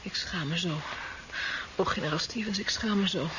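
A woman's voice: on the low side, moderate pace, fairly bright tone, close to an everyday voice. An actress playing an earnest, easily shaken young female doctor in a 1970s science fiction radio drama. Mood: weak, ashamed. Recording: dull 1970s radio recording, faint noise.

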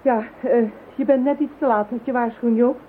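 A woman voices a steady telepath in an old radio play, brisk and composed, her voice sitting a little high. The recording is thin and phone-like, with faint hiss. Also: lightly acted. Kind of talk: radio drama acting.

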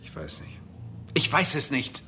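A man's voice: mid-range voice, big pitch swings, unhurried, pushed and bright, full character voice. A man playing a sardonic, irritable doctor caught in an affair, in a German radio crime drama, stammering when rattled. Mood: agitated, cynical. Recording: roomy vintage radio drama, background hiss.

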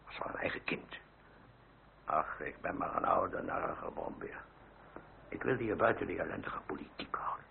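Gravelly, gruff old uncle. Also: male voice, sits low, wildly swooping pitch, whispered, full character voice. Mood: gruff, tender. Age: older. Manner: halting, emotional, with sighs and interjections. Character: gruff, grumbling old uncle with a soft heart. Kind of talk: old radio drama acting. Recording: crackly, narrow-band old radio recording.

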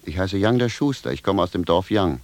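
A simple, querulous village shoemaker who sounds utterly bewildered, in radio play style. His low voice moves up and down a lot, plain-spoken and indignant, in a dry studio sound. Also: expressive, fairly quick, dry recording. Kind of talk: theatrical German radio drama acting.

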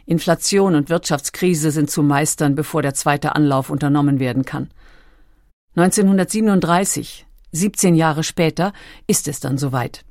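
A woman reading scripted documentary narration in a measured, factual manner. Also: low-pitched, a little mellow, no room sound, studio quality.

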